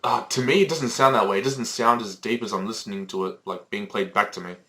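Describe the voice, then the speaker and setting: Rambling teenage guy, lowish voice. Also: fast, pushed and bright. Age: teens. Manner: swears freely, rambling with many fillers and restarts. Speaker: podcast co-host. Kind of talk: casual chat. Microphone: Skype call recording.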